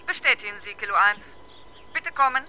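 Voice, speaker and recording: An actress playing a brisk, formal police radio dispatcher with clipped delivery in a 1970s German radio crime drama. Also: female voice, medium pitch, animated, sharp tone, slight background noise. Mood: brisk, official.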